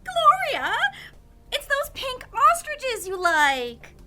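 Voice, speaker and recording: A woman's voice: very high-pitched, huge pitch swings, slow, pushed and bright, soft background music, heavily acted. A woman playing a dim, fawning suburban sidekick in a comedic audio drama, repeating herself eagerly. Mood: excited, clueless. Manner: echoes herself in eager agreement.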